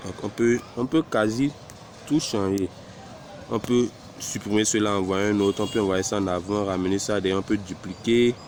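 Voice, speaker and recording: A man with a cold walking through a software tutorial step by step, hesitant and unhurried as he clicks through each setting. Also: on the low side, noisy background. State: has a cold. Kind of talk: screen-recorded software tutorial.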